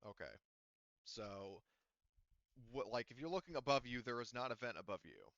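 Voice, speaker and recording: A man refereeing a tabletop game as game master in a casual, halting way, thinking aloud as he goes. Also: medium pitch, lively intonation, a bit bright, no echo, highs cut off.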